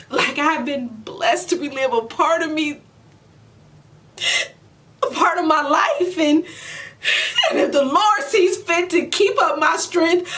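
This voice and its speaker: A woman in her forties playing a weary, proud, loving mother in an emotional stage monologue, speaking deliberately with heavy pauses. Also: fairly bright tone, full character voice. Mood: tearful, resolute. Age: 40s. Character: weary, proud middle-aged mother and widow, wounded but loving. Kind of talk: emotional stage monologue, self-taped audition. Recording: distant mic, noticeable low rumbling noise.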